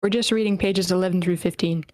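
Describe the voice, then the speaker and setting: A woman's voice: fairly low pitch, steady tone, quick pace, darkish tone, dry recording. Playful woman hosting, dry close sound. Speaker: host of an online script table read. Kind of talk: casual meeting hosting.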